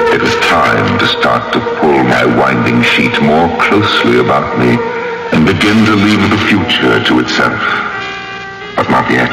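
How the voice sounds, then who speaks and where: Deep gravelly gothic radio storyteller. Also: male voice, exaggerated voice, rough recording. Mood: brooding. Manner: theatrical, deliberate. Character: brooding, enigmatic male portraitist and ghostly storyteller of gothic tale. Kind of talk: old-time radio drama acting. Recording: old radio broadcast with music bed.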